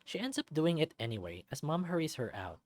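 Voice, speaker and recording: A woman's voice: deep voice, very animated, a little fast, a little mellow. A woman reading a visual novel aloud as a let's-play narrator, with casual asides. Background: music.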